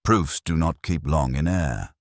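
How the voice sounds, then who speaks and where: A man reading the part of a drily sceptical detective inspector, deliberate and understated. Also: very deep voice, no echo, studio quality. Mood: dry, musing.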